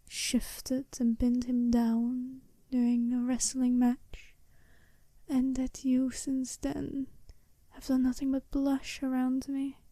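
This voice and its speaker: Woman playing a slow, soft, mellow seductive werewolf bride. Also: high voice, no room sound. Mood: teasing, confident. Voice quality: soft-spoken, breathy half-whisper. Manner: slow, teasing, deliberate, intimate. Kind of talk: ASMR roleplay.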